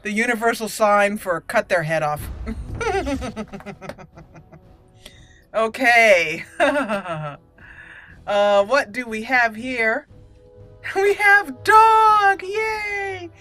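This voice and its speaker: A woman gives chatty roleplay playthrough commentary. She is playful and expressive, with swooping pitch and an unhurried pace, over faint game music. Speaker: gaming channel host.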